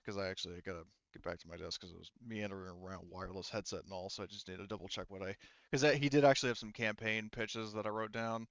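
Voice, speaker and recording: A man acting as game master, narrating casually in a halting, rambling way with frequent self-corrections. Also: lowish voice, steady tone, no echo, lo-fi sound.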